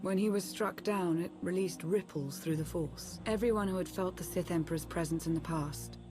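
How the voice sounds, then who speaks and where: An actress playing a composed, analytical female strategist in a video game, formal and measured as she explains. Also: lowish voice, music in the background, natural voice. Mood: grave, explanatory. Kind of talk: dramatic video game acting.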